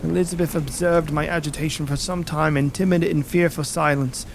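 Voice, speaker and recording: Haunted young husband played by a man for gothic audiobook drama: high-pitched, theatrical, emotive, halting, over music and rain. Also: steady tone, gravelly, slight background noise. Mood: anxious, hushed. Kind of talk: dramatic first-person audiobook narration.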